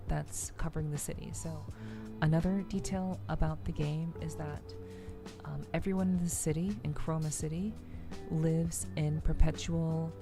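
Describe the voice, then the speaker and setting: A woman hosting a soft-spoken ASMR game livestream, chatting to viewers and explaining things in a gentle, rambling way. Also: sits low. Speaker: streamer, host of a weekly live show. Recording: music playing behind the voice. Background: background music.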